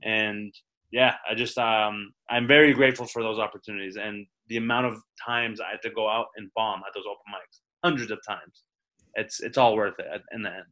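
A young man from the Toronto area talks about his comedy career in a loose, rambling way, full of restarts and casual swearing. His voice is bright and forward. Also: on the low side, fairly even intonation, brisk, rough recording. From Toronto area, Canada. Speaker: stand-up comedian guest. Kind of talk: casual interview chat.

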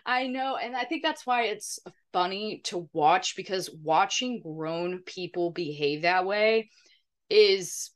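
A woman's voice: mid-range voice, slow. A woman co-hosting a podcast, chatting casually in a blunt, informal way. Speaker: podcast co-host. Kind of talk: casual chat.

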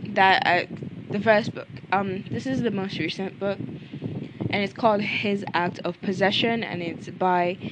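A woman's voice: medium pitch, steady tone, measured pace, background noise. A young woman in a casual book review vlog, ranting bluntly and chattily while she is losing her voice.

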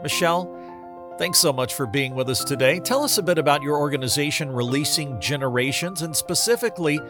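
A man hosting an interview who reads a scripted introduction with measured, deliberate delivery. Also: fairly high pitch, music in the background. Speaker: host.

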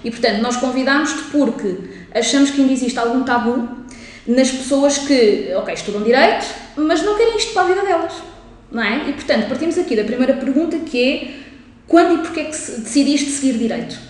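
A woman's voice: sits high, animated, a little fast. A woman, a psychologist hosting a podcast interview in a friendly way. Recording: echoey room.

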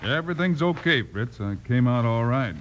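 Man acting a heroic cowboy lawman, American, mid-pitched, steady and commanding, old radio western, noisy recording. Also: moderate pace, highs cut off, close to an everyday voice. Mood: calm.

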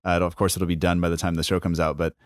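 A man with a low voice hosts a podcast casually. He is laid-back and easygoing, phrasing things loosely as he goes. The sound is dry and close. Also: level tone, racing, no room sound. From United States. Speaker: podcast host.

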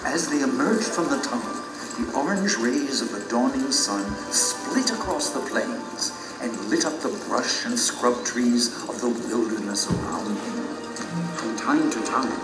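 Male storyteller played by an actor, epic fantasy narration, suspenseful, theatrical, roomy sound, loud music behind. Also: medium pitch, animated, a little slow, close to an everyday voice. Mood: dramatic, wondrous. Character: storyteller man recounting an epic fantasy journey. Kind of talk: dramatic audio drama narration. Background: dramatic music.

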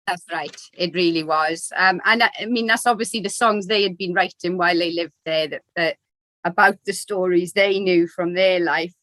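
A woman's voice: lowish voice, normal speed, dry recording. A woman with a Welsh Valleys lilt talks over old memories in easy, chatty conversation, laughing now and then. The sound is close and dry, with no room around her. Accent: South Wales Valleys. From Wales. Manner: warm reminiscing, laughs easily. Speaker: guest. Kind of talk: casual chat.

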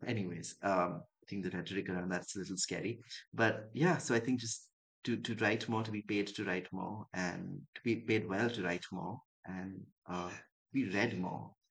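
Young guy from dubai and chennai, lowish voice, musing and thoughtful, podcast guest. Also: steady tone, moderate pace, creaky, low-quality recording. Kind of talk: reflective conversational interview.

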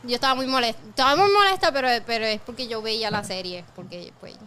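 Blunt woman with a slightly high voice. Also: fast talker. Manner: blunt, animated, switches into English. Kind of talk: casual film chat.

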